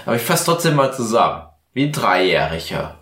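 A man's voice: mid-range voice, very animated, a little fast, a bit bright. A man with a mid-range voice hosts a casual podcast while tipsy. He rambles and slips into mock-formal phrasing. The room around him is audibly echoey. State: tipsy from mixed drinks. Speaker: podcast host. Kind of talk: casual, bantering podcast chat.

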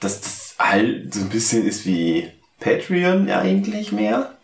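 A man's voice: on the low side, huge pitch swings, slow pace, roomy sound. A drunk man co-hosting a podcast, talking hesitantly and rambling with lots of ums and restarts. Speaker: podcast co-host. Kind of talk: casual drunken podcast banter.

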